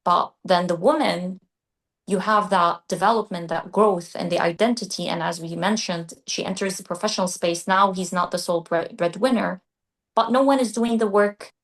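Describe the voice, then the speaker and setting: A woman who grew up in Qatar, co-hosting a podcast and discussing ideas in a thoughtful, measured way. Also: on the low side. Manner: thoughtful, measured, restarts mid-phrase. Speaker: podcast co-host. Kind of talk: casual discussion.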